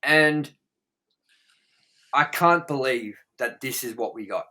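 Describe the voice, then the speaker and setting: A man's voice: mid-range voice, expressive, takes it slowly, bright, forceful tone. A man co-hosting a casual movie review, speaking in an emphatic, opinionated and sweary way. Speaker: review show co-host.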